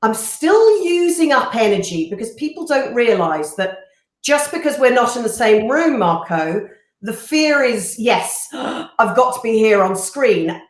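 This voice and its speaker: A woman co-hosting a live stream, chatting in an animated way and laughing easily as she introduces her guest. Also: fairly high pitch, measured pace. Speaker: co-host. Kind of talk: casual live-stream chat. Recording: roomy echo.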